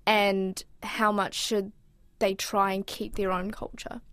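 A woman, hosting a news podcast, reading scripted narration and asking interview questions in a measured way. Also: medium pitch, level tone, a bit bright, smooth, dry recording. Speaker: podcast host.

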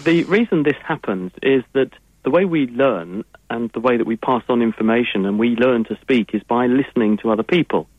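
A man's voice: mid-range voice, brisk, no room sound. A man with an English accent, a science guest on a radio call-in, answering listeners' questions in long, fluent explanations. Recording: tinny phone-line sound.

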